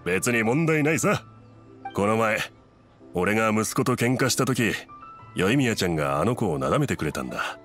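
An actor playing a kindly, easygoing middle-aged merchant in an anime game, speaking politely and expressively. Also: male voice, on the low side, animated, moderate pace, a bit bright, soft background music. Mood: rueful. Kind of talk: Japanese anime game voice acting.

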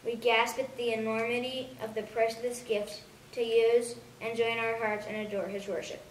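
A woman's voice: on the high side, little pitch movement, normal speed. Earnest woman reading from across a room. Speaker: devotional reader. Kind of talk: reading a devotional aloud. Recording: roomy, distant camera sound with faint hiss.

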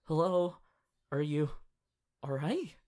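A woman voicing an affectionate, excitable young male anime hero turned bunny in an ASMR roleplay, with a soft, hesitant delivery. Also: low-pitched, slow pace. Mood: puzzled. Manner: hesitant, giggly, swinging from murmurs to excited exclamations. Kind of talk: ASMR audio roleplay, acted monologue.

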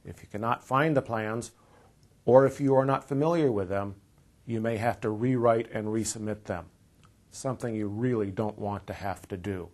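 An American man in his fifties with a fairly low voice narrates an instructional video. He explains things in a measured, orderly way, reading from a script as a presenter would. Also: darkish tone. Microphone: lapel mic.

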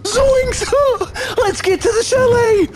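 An actor playing a goofy, cowardly young slacker in a cartoon game, with slangy, nervous, laid-back talk. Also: male voice, very high voice, slow, loud music behind the voice, low-quality recording. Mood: alarmed. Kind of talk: cartoon video game acting.

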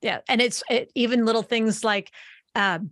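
A woman in her forties hosting a podcast interview, chatty and lively, speaking into a boom mic while wearing headphones. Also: moderate pace, dry recording, studio quality. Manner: energetic, talks with her hands, restarts phrases. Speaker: podcast host. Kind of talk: conversational interview. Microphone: boom mic on arm, wearing headphones.

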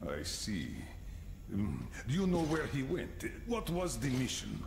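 A man playing a gruff, commanding middle-aged colonel of a special task force in a video game. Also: medium pitch, huge pitch swings, measured pace, exaggerated voice. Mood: curious, sober. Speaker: military commander. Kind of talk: video game character acting. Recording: echoey game scene with loud noise.

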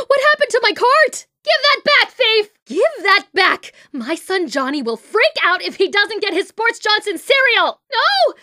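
Comic game bystander: excitable woman, exaggerated delivery, lively swings in pitch, unhurried pace. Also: no room sound, studio quality, full character voice. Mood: panicked outrage. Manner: exaggerated, expressive. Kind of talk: comedic video game character acting.

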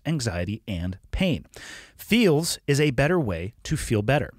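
A man's voice: no echo, clean studio sound. A man with a head cold, sounding a little nasal, reading sponsor ads as a podcast host in an upbeat, emphatic way. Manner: upbeat, emphatic sales pitch. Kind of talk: ad read.

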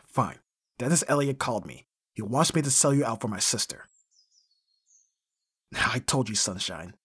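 A man's voice: mid-range voice, no echo. A man playing an abrasive, brutally honest bodyguard in an intimate audio roleplay, speaking bluntly with heavy sighs. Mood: grim, blunt. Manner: gruff, sighing, swearing, blunt. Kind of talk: intimate ASMR roleplay acting.

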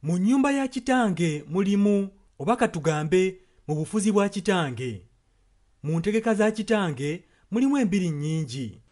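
Ugandan male sermon interpreter, slightly high voice, translating in short passages. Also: animated, normal speed, darkish tone.

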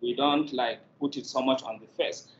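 A middle-aged Nigerian man explains things in an interview over a video call. His pitch is mid-range, and the sound is roomy and a little muffled. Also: steady tone, normal speed, slight background noise. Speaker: guest, drama producer. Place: indoor room, video call.

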